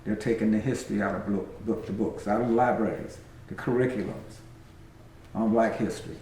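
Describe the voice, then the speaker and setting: Older man, dark voice, echoey room. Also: lowish voice, steady tone, moderate pace, slight background noise. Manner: emphatic, repeats key phrases. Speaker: community elder. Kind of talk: casual group conversation.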